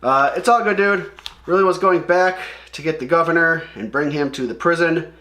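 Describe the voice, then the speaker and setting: A man's voice: a little high, normal speed, sharp tone. A man reading the part of a laid-back, wry comic-book comrade with a slight grudge.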